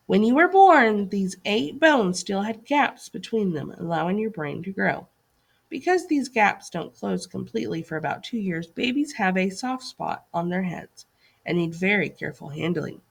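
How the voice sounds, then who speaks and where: A woman's voice: medium pitch, creaky. A woman teacher reading a scripted lesson aloud for young children in an instructive way, putting questions to her listeners.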